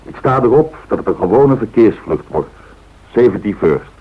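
Husky, low-voiced airline boss. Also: male voice, animated, normal speed. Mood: firm, businesslike. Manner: firm, businesslike, instructing. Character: authoritative, cautious male airline director. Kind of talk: radio drama dialogue. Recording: thin, phone-like old radio recording.

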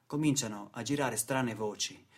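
A man's voice: medium pitch, moderate pace. Solemn man narrating radio theatre. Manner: solemn, theatrical, with short weighted phrases. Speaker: narrator and stage actor.

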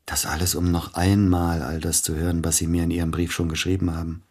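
A deep, rough-edged male voice plays a bereaved concert pianist in a radio drama. He is formal and hesitant, brooding over his loss, with jealousy pressing through his courtesy. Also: level tone, a little fast, a little mellow. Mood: melancholy, apologetic. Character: grieving, jealous widowed male pianist, courteous but probing. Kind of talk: German radio play acting.

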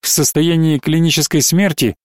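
A man voicing a calm, cold and firm male doctor in an audiobook, speaking level and unhurried. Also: a little high, no echo, studio quality, lightly acted. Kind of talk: audiobook reading.

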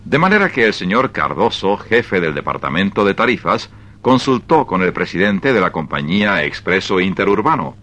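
A male narrator tells a scripted story for radio theatre. His voice is low with a bright, forceful edge. The delivery is animated, moving widely in pitch. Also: moderate pace.

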